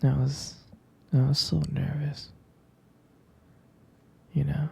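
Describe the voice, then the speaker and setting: A man playing a jealous, protective, devoted boyfriend in an intimate ASMR roleplay, speaking in a breathy, halting, tender whisper. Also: a little high, flat delivery, dark tone, exaggerated voice. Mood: fond, nostalgic. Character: jealous, protective, devoted young boyfriend soothing his partner.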